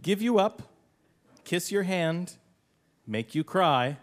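A man's voice: high voice, expressive, takes it slowly, noisy background. A man with an accent hosting a comedy quiz, asking clues and teasing the players dryly. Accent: non-native English. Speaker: quiz co-host and musician. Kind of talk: comedy quiz show banter.

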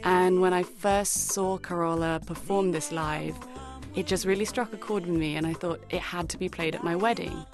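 Woman, British-Kenyan accent, fairly low voice, reflective storytelling, radio segment. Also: steady tone, moderate pace, music in the background. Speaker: radio producer. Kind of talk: personal scripted radio segment.

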